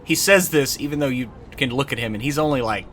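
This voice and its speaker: A man's voice: mid-range voice, fast, fairly bright tone, slight background noise. Informal man describing his game character. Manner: informal, loosely phrased. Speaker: role-playing game player.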